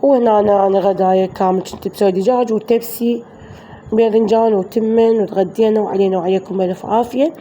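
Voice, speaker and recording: A woman with an Iraqi Arabic accent, hoarse from a flu, chatting through a voice-over of her daily home routine for her viewers. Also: mid-range voice, fairly even intonation, fast, mellow, slight background noise. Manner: chatty, addresses viewers as girls. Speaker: vlogger.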